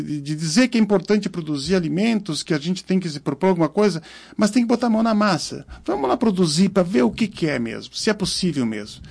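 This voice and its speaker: A man in his fifties with a southern Brazilian accent, answering interview questions earnestly and haltingly, repeating words as he searches for phrasing. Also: high-pitched, vocal fry. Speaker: interview guest, organic farmer.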